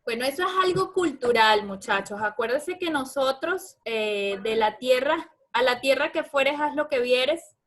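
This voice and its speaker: A woman with a distinctly high voice and a Venezuelan Andean accent speaks as a lecturing doctor. She explains things in colloquial language, addressing her listeners directly in an informal question-and-answer session. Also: a bit bright. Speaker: guest lecturer, obstetrics doctor.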